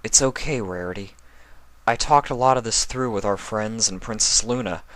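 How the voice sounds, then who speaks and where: A man's voice: on the low side, moderate pace, fairly bright tone, dry recording, pitched above the performer's own voice, lightly acted. A man voicing a hurt young male pony in a story reading, earnest and emphatic. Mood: forgiving.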